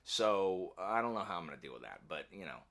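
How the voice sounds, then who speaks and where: A man's voice: deep voice, big pitch swings, fairly quick, a bit bright. A man chatting casually to camera as a channel host, rambling along in a wry, self-deprecating way. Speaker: YouTube book channel host. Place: indoor home office.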